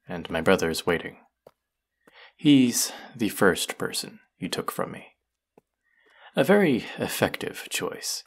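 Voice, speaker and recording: A man playing a bitter, menacing fantasy villain in an audio roleplay, with a gravelly, husky voice and a sardonic edge. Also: deep voice, exaggerated voice. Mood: cold mockery. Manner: menacing, sardonic, slow and deliberate. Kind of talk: dramatic audio roleplay acting addressed to the listener.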